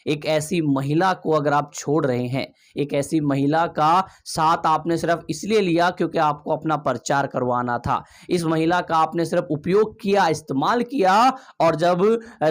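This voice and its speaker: A man giving emphatic gossip commentary, asking rhetorical questions and addressing viewers directly. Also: fairly high pitch. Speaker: video commentator.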